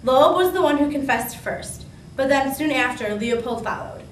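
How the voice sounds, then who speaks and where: An earnest female 1920s reporter, played by a woman, with a fairly high voice. She reads her scripted report plainly. The recording is echoey and a bit noisy, with the mic at a distance. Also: expressive, normal speed. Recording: echoey room, distant mic, background noise.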